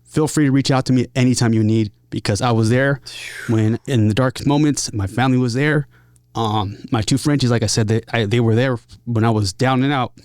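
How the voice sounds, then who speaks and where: A man speaking casually and openly as an interview guest, earnest, in short halting phrases. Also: mid-range voice, steady tone, darkish tone, clean tone, no room sound, studio quality. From San Diego area, United States. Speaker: podcast guest, musician. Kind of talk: casual, candid interview talk.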